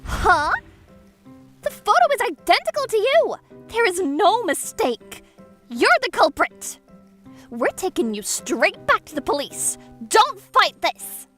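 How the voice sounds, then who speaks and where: Very high-pitched scheming teacher lady. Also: very animated, slow, a bit bright, faint music behind, exaggerated voice. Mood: stern, accusing. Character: scheming, self-righteous woman teacher, greedy and excitable. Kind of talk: manga dub voice acting.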